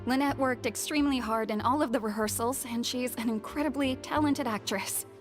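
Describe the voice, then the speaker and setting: A woman voices a humble stage actress who deflects praise in a slightly high, modest voice. Music sounds clearly behind her. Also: brisk, close to an everyday voice. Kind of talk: game voice acting. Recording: game dialogue with music behind.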